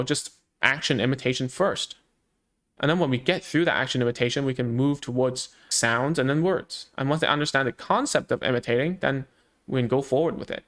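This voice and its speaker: A man's voice: medium pitch, a little fast, a bit bright. A man whose first language is Cantonese, a speech therapist talking casually to parents about child language, with many fillers and restarts. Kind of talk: casual explanatory talk to parents.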